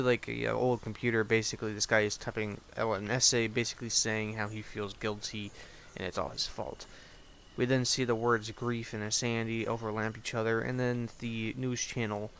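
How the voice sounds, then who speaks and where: A man's voice: mid-range voice, fairly bright tone. A young man giving a casual, sarcastic recap commentary in an offhand, sweary way. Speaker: host, video reviewer. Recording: clearly noisy home recording.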